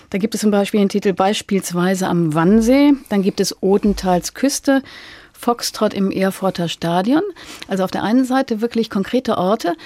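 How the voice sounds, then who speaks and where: A woman hosting a literary interview, speaking in a measured, articulate and thoughtful way. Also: on the high side, mellow, no echo. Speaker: interviewer, host.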